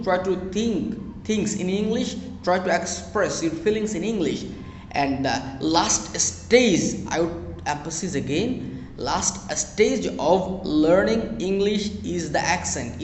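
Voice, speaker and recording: A young Bangladeshi man, a native Bengali speaker, gives earnest advice to English learners, repeating phrases and pausing with fillers. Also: fairly high pitch, darkish tone, slight background noise. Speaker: spoken English teacher. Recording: echoey room with long reverb.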